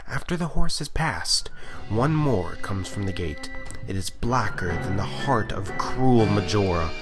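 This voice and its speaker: A man's voice: on the low side, expressive, unhurried. A man reading a scripted narrative poem aloud in a theatrical storytelling manner. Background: music.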